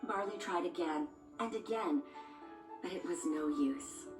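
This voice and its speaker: A woman's voice: on the low side, unhurried. Woman, storybook narrator, scripted delivery, distant roomy recording, music bed. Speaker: read-along story narrator.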